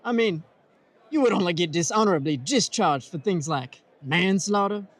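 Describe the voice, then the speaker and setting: Man as high-voiced earnest straight man. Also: animated, normal speed. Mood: innocent. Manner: innocent straight-man delivery. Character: earnest, naive male friend of war veterans. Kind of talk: old-time radio sketch comedy acting.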